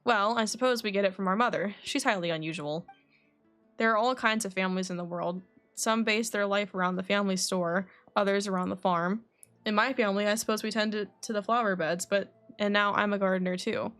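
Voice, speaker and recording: A woman voicing a composed, scholarly young male alchemist from a video game, reading his dialogue in a measured, formal, reflective way. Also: mid-range voice, fairly even intonation, fairly bright tone, soft background music, lightly acted.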